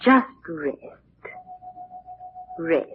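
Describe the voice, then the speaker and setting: Devoted female servant, unhurried, animated intonation, deferential, motherly, vintage radio drama. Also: deep voice, faint music behind, exaggerated voice. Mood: soothing. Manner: soothing, deferential. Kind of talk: 1940s radio drama acting. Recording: noisy, muffled old radio recording.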